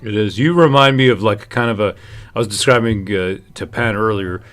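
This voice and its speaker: A man's voice: fairly low pitch, fairly quick, a bit bright. A man hosting a livestream, chatting loosely and informally in a jokey, rambling way that repeats itself. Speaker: livestream host. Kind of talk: loose, joking casual chat.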